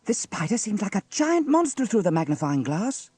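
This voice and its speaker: A man voicing an excitable, curious young schoolgirl in a children's story, full of exclamation. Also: moderate pace, husky, dry recording, full character voice. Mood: amazed. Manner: high, exclaiming.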